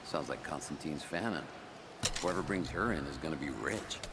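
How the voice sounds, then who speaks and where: An actor playing a gruff mercenary soldier in a video game. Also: male voice, extremely low pitch, lively intonation, moderate pace. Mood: businesslike, curious. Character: gruff, deep-voiced mercenary soldier on patrol. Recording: loud game background noise.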